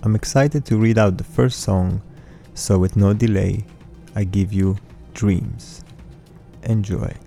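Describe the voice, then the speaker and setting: A man in his thirties whose first language is Russian hosts a podcast in a low, deeply mellow voice. He recites his own lyrics in an earnest, measured way. Soft music plays faintly underneath. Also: steady tone, gravelly. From Russian-speaking background. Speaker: podcast host. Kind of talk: scripted podcast narration and lyric reading. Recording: faint music bed behind voice.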